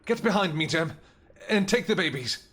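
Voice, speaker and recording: A man playing a tender, protective vampire king in a roleplay audio drama, speaking formally and earnestly, with halting phrases. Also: sits high, expressive, a bit bright. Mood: alarmed, commanding.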